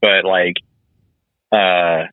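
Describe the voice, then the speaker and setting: A man in his mid thirties, a guest in a casual chat, easygoing and halting, full of fillers. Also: low-pitched, level tone, no echo. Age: 30s. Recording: tinny phone-like call line.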